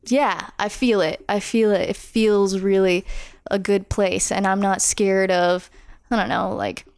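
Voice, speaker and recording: Young woman, musician guest, smooth resonant voice, dry close sound, chatty and animated. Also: medium pitch, unhurried, no room sound. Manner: animated, acts out her own inner voice. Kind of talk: casual podcast interview.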